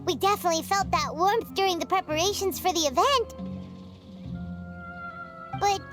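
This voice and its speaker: An actress voicing a small, chatty fairy companion in a video game who talks about herself by name. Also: female voice, extremely high pitch, normal speed. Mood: eager. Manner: bouncy, exclaiming, refers to herself by name. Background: game music.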